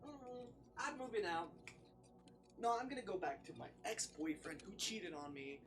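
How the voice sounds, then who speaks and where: A livestreamer reading a visual novel aloud and commenting on it, expressive and sweary, with dramatic delivery. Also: medium pitch, moderate pace, background noise. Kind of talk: livestream gameplay commentary mixed with read-aloud narration. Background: music.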